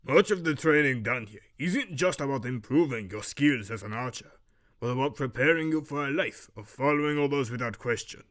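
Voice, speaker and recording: A man voicing a stern master archery instructor with a muddled, Romanian-like Eastern European accent, lecturing in a measured, severe way for an audio drama. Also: medium pitch, animated, fairly bright tone, lightly acted. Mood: cold, solemn. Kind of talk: fan audio drama character acting.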